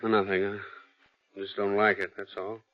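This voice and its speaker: An American actor playing a heavyset, gruff, suspicious private detective in a terse, hard-boiled 1940s radio drama style. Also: male voice, fairly low pitch, flat delivery, unhurried, close to an everyday voice. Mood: irritated, skeptical. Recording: muffled, noisy vintage radio recording.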